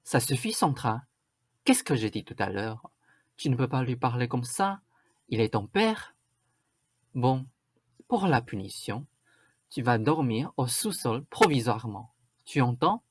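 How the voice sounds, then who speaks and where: A bossy, vain stepmother played by a woman in a radio play. She speaks slowly, with scolding insistence, as if nobody around her understands. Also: a bass voice, sing-song, breathy edge, no echo, studio quality, heavily acted. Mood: stern. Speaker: student actor. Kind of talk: radio drama acting.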